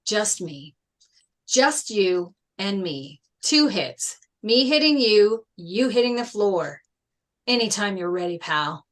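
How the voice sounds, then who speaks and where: A woman's voice: medium pitch, lively intonation, slow, fairly bright tone. A woman reading a proud, protective and blunt teenage boy athlete at a table read of a film script. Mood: threatening. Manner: confrontational, blunt.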